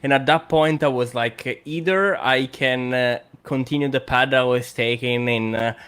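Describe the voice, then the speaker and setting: A young man from Italy with an Italian accent, chatting casually as a podcast co-host in halting, hesitant phrases. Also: medium pitch, fairly bright tone, smooth. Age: young adult. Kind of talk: casual conversational podcast talk.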